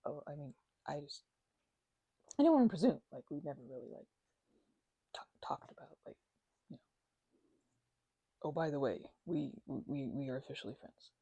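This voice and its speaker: A woman with a bright, slightly low and breathy voice, recorded very dry and close. She plays an affectionate, chatty housemate in a casual ASMR roleplay. Her delivery is playful and halting, often stammering as she teases. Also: lively intonation, dry recording. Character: chatty affectionate female housemate recovering from migraine. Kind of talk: ASMR roleplay, one-sided casual chat.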